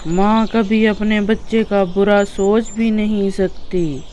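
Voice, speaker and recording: A woman voicing a wise male hawk king in a cartoon story, speaking calmly and deliberately. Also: medium pitch, a little hiss or hum, lightly acted. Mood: kind. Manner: calm and deliberate.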